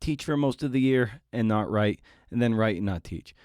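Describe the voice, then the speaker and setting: A man's voice: on the low side, moderate pace, darkish tone, clear, resonant tone, no room sound, clean studio sound. A man hosting a podcast, delivering an informal intro monologue with emphatic phrasing and playful asides. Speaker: podcast host.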